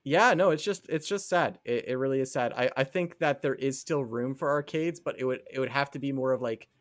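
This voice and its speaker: Man, medium pitch, dry sound, casual podcast chat, hesitant, thinking aloud. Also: fairly quick, no echo. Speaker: podcast co-host.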